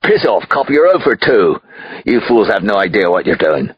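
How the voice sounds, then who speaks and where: An actor playing a rich, drunken, angry father who yells and sneers profanely in an audiobook drama. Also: fairly low pitch, very animated, normal speed, husky, no echo, highs cut off. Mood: angry, contemptuous. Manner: yelling, sneering.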